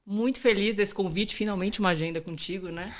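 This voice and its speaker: A woman's voice: medium pitch, a little fast. A woman hosting a podcast interview in a casual, upbeat, chatty way. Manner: upbeat, chatty, uses informal "tu". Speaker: podcast host.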